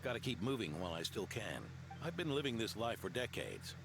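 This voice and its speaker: A weathered old knight from an anime game, earnest and fatherly. The voice is deep and swings very widely as he speaks emphatically, with clear noise in the background. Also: big pitch swings, a little fast. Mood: hearty.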